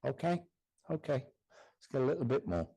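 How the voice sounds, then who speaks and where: A male medium addresses a church congregation during a service. His speech is halting and repetitive, and he keeps checking that his listener follows him. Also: mid-range voice, big pitch swings, creaky, dark tone, husky. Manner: repetitive, checks in often with "do you understand?". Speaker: guest medium.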